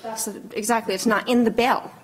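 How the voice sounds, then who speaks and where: A woman's voice: on the high side, fairly even intonation, a little fast, poor audio. A woman speaking as a board member at a public meeting, pressing her point insistently and pushing back, picked up by a room camera across the meeting room. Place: town meeting room, indoors.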